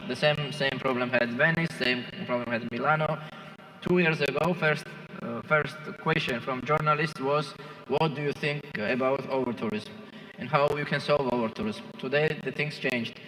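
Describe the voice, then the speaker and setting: A man's voice: medium pitch, sharp tone. Man speaking in a reverberant hall. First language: not English. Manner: halting, non-native English phrasing. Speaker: conference panelist. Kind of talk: impromptu panel remarks. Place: large conference hall. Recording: echoing hall with long reverb.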